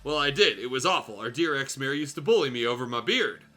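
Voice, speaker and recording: A man reading aloud as a smug, petty, bearded storybook creature, in an unhurried manner, with music behind him. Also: medium pitch, animated, sharp tone.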